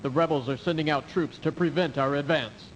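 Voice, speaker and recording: A man's voice: on the high side, fairly quick, close to an everyday voice. Man playing a formal, loyal military aide. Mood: formal, concerned. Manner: formal, reporting. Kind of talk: video game cutscene acting. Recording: faintly noisy 1990s game audio.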